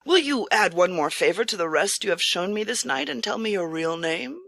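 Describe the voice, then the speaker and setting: A woman plays a kindly traveling priest of secret noble rank in an old storybook tale. The delivery is earnest and formally polite, in a bright voice. The recording is dry, with no room sound. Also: mid-range voice, animated, brisk, vocal fry, no echo. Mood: earnest, insistent. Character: noble male regent disguised as courteous traveling priest. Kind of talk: audiobook character acting.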